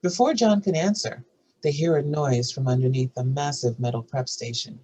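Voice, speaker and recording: A woman with a husky voice reading screenplay stage directions as a narrator. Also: very deep voice, moderate pace, warm tone.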